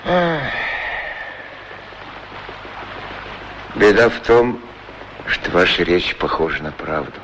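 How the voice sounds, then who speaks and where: Casting: middle-aged lovesick shoeshiner, grumbly but tender, very expressive intonation, old radio drama with slight hiss. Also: male voice, low-pitched, unhurried, heavily acted. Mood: wistful, worried. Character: lonely, fretful, lovesick middle-aged shoeshine man, proudly polite. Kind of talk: radio play acting. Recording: noisy 1960s radio recording.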